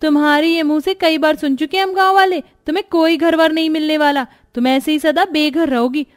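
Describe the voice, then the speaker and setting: A woman's voice: sits high, fairly even intonation, brisk, pitched above the performer's own voice, natural voice. A woman voicing an angry village woman in a cartoon, taunting and indignant as she scolds. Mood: mocking, angry.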